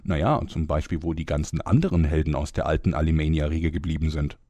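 A low, mellow announcer, played by a man, tongue-in-cheek as he narrates a comic radio serial. The recording is dry and close. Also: fast talker, dry recording. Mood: mock-serious.

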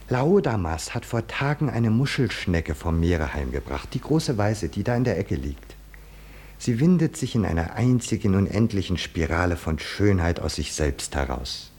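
Radio drama: stern, mocking inner mentor; low, husky voice, widely swinging intonation, insistent rhetorical admonishing. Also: male voice, big pitch swings, moderate pace, a little mellow, full character voice. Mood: quiet, insistent. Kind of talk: 1950s German radio play acting.

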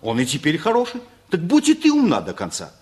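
A man's voice: a little high, huge pitch swings, brisk, a little mellow. An actor playing a principled, blunt family man who reasons insistently in a stage-style radio play. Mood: earnest, forceful.

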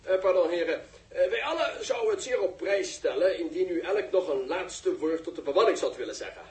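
An actor playing a pompous, easily flustered live reporter in a 1960s radio drama, speaking in formal, florid phrases. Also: male voice, sits high, animated, fairly quick, a little mellow. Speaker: television reporter. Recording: echoey, distant 1960s radio recording.

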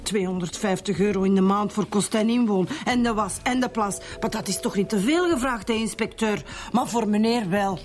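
A woman's voice: mid-range voice, normal speed, few pauses, faint music behind, natural voice. A woman playing a callous, hard-drinking middle-aged mother in a radio drama, blunt and grumbling with a Flemish accent. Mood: self-pitying, bitter. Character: brash, callous middle-aged mother who drinks, sarcastic and self-pitying.

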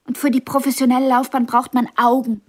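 An actress in a radio drama playing a blind young pianist, wounded yet ambitious and frank, with a halting, intense delivery. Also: female voice, high voice, flat delivery. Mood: yearning, determined.

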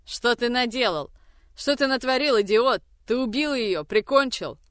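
A woman's voice: medium pitch, a little slow, a bit bright, clean tone, no room sound, clean studio sound, close to an everyday voice. A woman voicing a cruel older woman who tortures a captive, with vicious threats and shrieking anger. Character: cruel brutal older woman gang mother. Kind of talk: audiobook reading.

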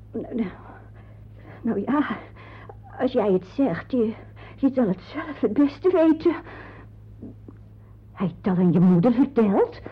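A woman playing a fussing, coaxing aunt and mother of grown sons in a radio drama, halting and repeating herself, with a husky voice. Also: mid-range voice. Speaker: radio play actress. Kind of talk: radio drama monologue to a silent listener. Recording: dull, muffled radio play recording.

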